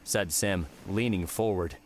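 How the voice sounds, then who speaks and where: Male audiobook narrator, low register, scripted adventure prose, noticeable ambient noise behind. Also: a little slow.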